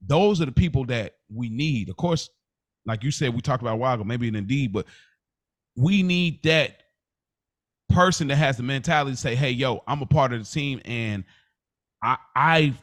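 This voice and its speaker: A man's voice: medium pitch, expressive, normal speed, a bit bright, dry recording. A man chatting casually as a podcast co-host, informal and slangy, often repeating his phrases.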